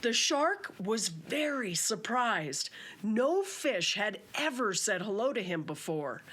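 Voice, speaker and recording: Unhurried woman reading a storybook. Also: medium pitch, very animated, fairly bright tone, breathy edge. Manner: simple, measured read-aloud delivery. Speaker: children's author, guest.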